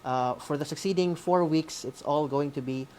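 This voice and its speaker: A man with a Filipino accent co-hosting a radio class in an informal, hesitant, halting way. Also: a little high, a little mellow. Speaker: radio school co-host.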